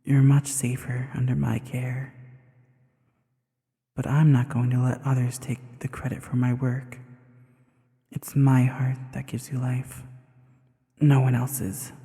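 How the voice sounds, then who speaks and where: A man playing a possessive, tender evil sorcerer in an intimate audio roleplay, speaking soothingly and with soft menace straight to the listener. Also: medium pitch, monotone, slow, gravelly, pauses often. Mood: smug, possessive. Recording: long hollow reverb.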